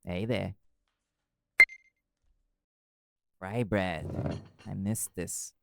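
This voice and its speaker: A man's voice: low voice, fairly even intonation, slow pace, creaky, over loud music, full character voice. A man playing a cool, wry, flirty magical private eye in an intimate audio roleplay, teasing dryly and swearing casually. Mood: relaxed, wry.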